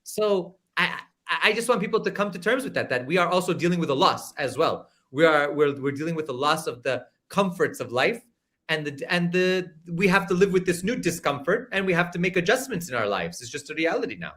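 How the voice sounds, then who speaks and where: A man's voice: fairly high pitch, lively intonation, brisk, fairly bright tone. A man from the United States, talking on a live panel discussion in a reflective, rambling way with frequent restarts.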